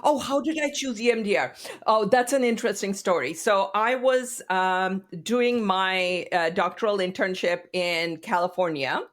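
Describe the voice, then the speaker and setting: A woman's voice: medium pitch, moderate pace. A woman in her fifties from India, telling stories animatedly as a guest therapist on a casual podcast. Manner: animated storyteller, chatty and expressive.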